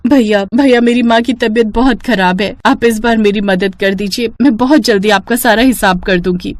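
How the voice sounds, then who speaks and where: A woman's voice: a little high, normal speed, lightly acted. A woman voicing a poor, hardworking young woman in a cartoon story, speaking earnestly and respectfully. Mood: pleading, dutiful. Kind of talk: acted dialogue in a Hindi cartoon story.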